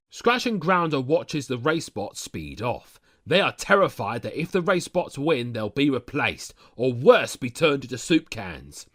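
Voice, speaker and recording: A man's voice: medium pitch, a bit bright. A man narrating a scripted comic story recap, dropping sardonic asides to the listener. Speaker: narrator of a fan audio story.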